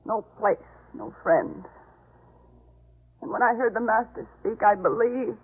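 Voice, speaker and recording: Despairing biblical servant, breathy, old radio. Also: female voice, fairly high pitch, animated, a little slow. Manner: mournful, melodramatic. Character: despairing female servant with a shamed past, biblical times. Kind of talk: 1950s radio drama acting. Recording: muffled narrowband 1950s radio recording.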